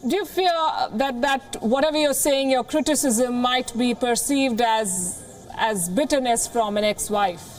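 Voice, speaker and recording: A woman news anchor with a high voice reads the report in a measured, crisp delivery. Soft music runs faintly beneath her. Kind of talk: scripted news report and interview.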